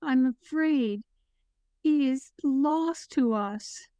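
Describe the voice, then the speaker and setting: A woman's voice: high-pitched, very slow, warm tone, no room sound. A woman playing a gracious, solemn, motherly queen in a fantasy audio play, speaking in a stately, formal way. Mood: grave.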